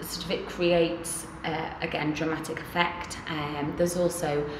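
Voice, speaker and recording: A woman's voice: low voice, little pitch movement, a little slow, slight background noise, low-quality recording. A woman who acts in pantomime, speaking expressively and reflectively in an interview. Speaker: pantomime actor playing villains.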